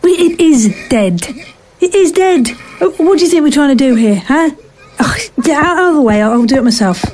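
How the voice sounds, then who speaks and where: A woman playing a callous, sardonic scientist in an audio drama, with halting, mocking asides. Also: high voice, expressive, a little mellow, slight background noise. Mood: impatient. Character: callous, driven female scientist, sardonic and ruthless.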